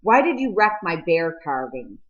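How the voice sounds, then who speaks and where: An earnest teenage boy from a storybook, voiced by a woman narrator. He speaks patiently and tries to smooth things over. The recording is slightly lo-fi. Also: on the low side, moderate pace. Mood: restrained, conciliatory. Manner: calm, conciliatory. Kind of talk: audiobook character dialogue.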